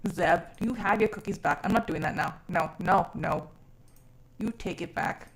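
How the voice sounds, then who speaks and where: A woman's voice: fairly low pitch, normal speed. A woman born in South Africa who grew up mainly overseas, chatting casually and expressively as a live streamer, with informal, sweary phrasing. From born in South Africa, grew up mainly overseas from age six. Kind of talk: casual chat.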